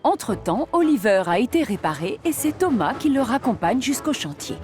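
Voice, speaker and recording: A woman's voice: a little high, very animated, normal speed. A woman narrating a French children's cartoon as its storyteller, with music behind the voice. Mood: storytelling.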